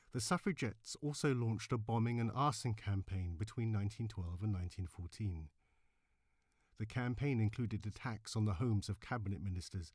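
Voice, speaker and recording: A man reading scripted historical narration in a measured, solemn, deliberately phrased way. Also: lowish voice, a little mellow, no echo, clean studio sound. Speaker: narrator, reader.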